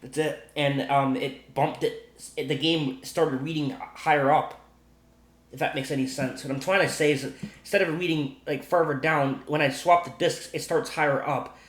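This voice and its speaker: Higher-pitched man in an echoey room. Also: fairly even intonation, fairly bright tone. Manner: halting, repetitive, circles back over points. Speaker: gaming video host. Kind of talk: casual unscripted game modding explanation.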